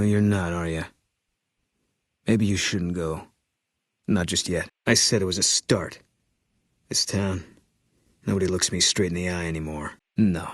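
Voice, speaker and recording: A bitter, grieving ex-soldier sniper from a video game, who talks in short, clipped, grim lines. His voice is very low and husky, and it sits close and dry with no room sound around it. He stays guarded and curt, a middle-aged man who gives little away. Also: no echo.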